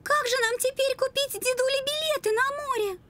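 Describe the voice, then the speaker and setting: A woman's voice: extremely high pitch, brisk, a bit bright. A woman voicing a perky, girlish big-sister puppy in a cartoon, with music behind. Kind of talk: animated cartoon acting.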